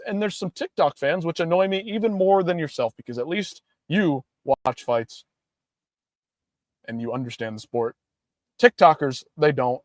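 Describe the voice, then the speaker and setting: A man's voice: high-pitched, a little mellow, no echo. A young man hosting a casual livestream, ranting in a hyped-up, profane way. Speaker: livestream host.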